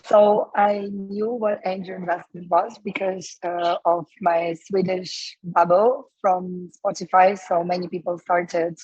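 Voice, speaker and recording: Woman, from Ukraine, hoarse, husky, low, dull lo-fi line. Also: darkish tone, no room sound. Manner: talkative, many fillers and restarts. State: losing her voice. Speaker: guest, startup co-founder. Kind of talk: casual interview answers.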